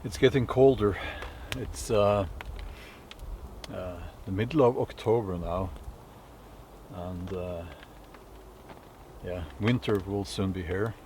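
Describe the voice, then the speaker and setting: A middle-aged Norwegian man talking casually to camera outdoors in the woods, unhurried and thoughtful, pausing with many fillers and restarts. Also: fairly low pitch, a little mellow, a little hiss or hum. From Norway. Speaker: vlogger.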